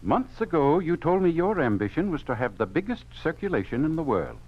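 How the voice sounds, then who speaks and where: An actor playing an obtuse official who defends the government line in a 1950s radio drama, formal and protesting. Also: male voice, fairly high pitch, very animated, fairly quick. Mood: placating, reproving. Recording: dull lo-fi 1950s radio recording.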